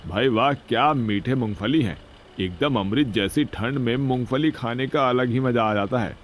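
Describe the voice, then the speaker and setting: A pompous, cruel mill owner from a cartoon moral tale, acted by a man. He orders and taunts in a bossy way at a middle pitch. There is faint noise behind the voice. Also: moderate pace, lightly acted. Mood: smug, bossy. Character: cruel, pompous rich male mill owner scolding workers. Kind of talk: Hindi moral-story cartoon dubbing.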